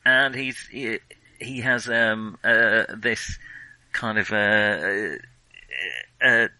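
Bright, forceful low-voiced man discussing films. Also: dry recording, poor audio. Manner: hesitant, thinking aloud, pausing often mid-sentence. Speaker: film podcast co-host. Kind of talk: casual film discussion.

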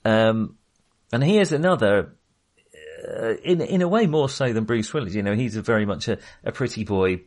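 A man co-hosting a casual film discussion, speaking in a halting, self-correcting way. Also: lowish voice, lively intonation, darkish tone. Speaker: podcast co-host.

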